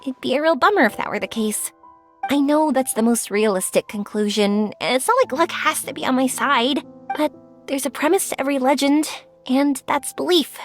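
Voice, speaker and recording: A woman playing a cheerful, earnest young dreamer in an anime video game, with a sincere, expressive manner. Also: sits high, close to an everyday voice. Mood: sincere, hopeful. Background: music.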